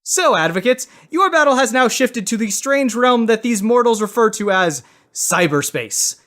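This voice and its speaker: A man's voice: extremely high pitch, expressive, normal speed, fairly bright tone. A man moderating a comedy podcast with theatrical, mock-grandiose scripted narration.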